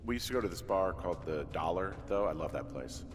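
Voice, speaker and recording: Game role: guarded everyman lookout, lowish male voice, dry and understated, faint ambient noise. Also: animated, fast talker. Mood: guarded, casual. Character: adult man, laconic, guarded, dry-humoured fire lookout. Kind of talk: naturalistic video game dialogue.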